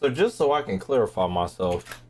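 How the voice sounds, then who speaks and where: A man's voice: on the low side, sing-song, normal speed, darkish tone. A man chatting casually to his livestream audience while gaming, using slang and swearing freely. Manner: slangy, swearing, talking to chat. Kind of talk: casual gaming stream chat. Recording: game music behind the voice. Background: video game music and sounds.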